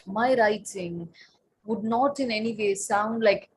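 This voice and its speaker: A woman's voice: medium pitch, slow, slight background noise. A woman in her late thirties, a Tamil native speaker, answering on a panel as a writer and translator, thoughtful, with many fillers and restarts.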